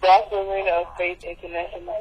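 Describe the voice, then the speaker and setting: A woman's voice: on the low side, little pitch movement, fast, darkish tone, husky. A young female in her teens giving brief, polite, reserved answers over the phone. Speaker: prank call recipient. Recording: noisy phone line through webcam.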